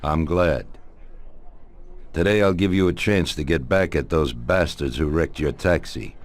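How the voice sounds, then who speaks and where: Older crime boss, extremely deep voice, commanding, measured menace, fatherly authority, game cutscene drama. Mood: composed, commanding. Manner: deliberate, authoritative, paternal. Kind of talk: scripted video game cutscene acting.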